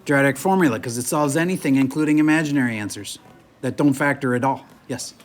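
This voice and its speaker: A man's voice: mid-range voice, quick pace. A male math teacher lecturing a classroom in a chatty, joking way while losing his voice. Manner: chatty, jokey, moves around the room.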